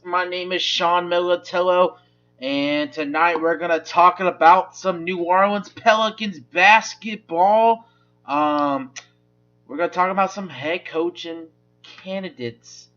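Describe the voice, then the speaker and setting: Guy hosting a sports talk show, kinda high bright voice, rambles and restarts a lot. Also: highs cut off. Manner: loose, rambling delivery with frequent restarts. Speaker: sports podcast host.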